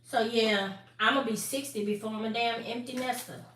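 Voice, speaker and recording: A woman's voice: on the high side, steady tone, moderate pace, a bit bright. A woman in her forties chatting casually with her viewers on a live stream, talking straight to them and laughing often. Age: 40s. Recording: echoey room, mic at a distance, faint noise.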